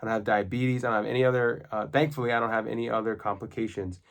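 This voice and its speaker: A man's voice: fairly low pitch, fairly even intonation, quick pace, vocal fry. A man with a coarse, congested voice, explaining things in an earnest, plain-spoken way in a casual video diary. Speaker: vlogger documenting his own diet.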